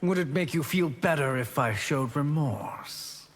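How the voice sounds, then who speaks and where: A man's voice: on the high side, measured pace, very noisy, close to an everyday voice. A man playing a sly, smug villainous sorcerer in a video game, mocking and coolly amused. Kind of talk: video game character dialogue. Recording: long hall-like reverb.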